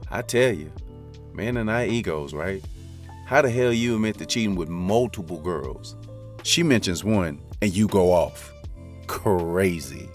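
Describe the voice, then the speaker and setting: A man narrating a first-person romance story in a casual, confiding, slangy way. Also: low voice. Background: faint background music.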